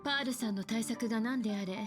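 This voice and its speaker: A composed mature woman leader from a game speaks in a measured, cautious way, voiced by an actress. Her lines come quickly over steady game music. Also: on the high side, close to an everyday voice. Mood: calm. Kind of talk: Japanese game voice acting.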